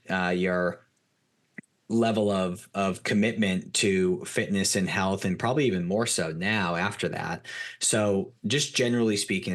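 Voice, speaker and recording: A man with a low voice speaks as a podcast host. He interviews in a conversational, informal style, laying out his thoughts at length as he goes.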